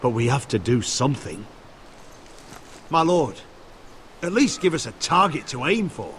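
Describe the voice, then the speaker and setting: A hard-bitten soldier in a fantasy game drama. The voice is mid-pitched, blunt and full of scorn, with faint noise in the background. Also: male voice, very animated, unhurried, fairly bright tone. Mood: pressing, grim. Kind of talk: video game drama acting.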